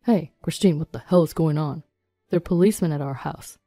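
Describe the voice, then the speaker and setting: A woman's voice: lowish voice, normal speed, warm tone, slightly rough, soft background music. A woman voicing a desperate, indignant cheating husband in an agitated, pleading way for a dramatic story.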